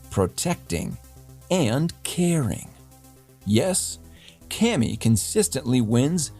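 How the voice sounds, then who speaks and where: A man's voice: mid-range voice, darkish tone, full character voice. A man playing a wry, defiant news host in a dystopian future city, delivering a satirical scripted newscast in a theatrical, mock-grand way. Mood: mock-salesman.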